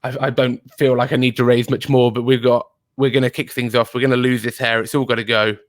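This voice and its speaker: A man on a casual livestream, relaxed and appreciative as he thanks donors. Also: medium pitch, fast, dry recording. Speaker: guest streamer.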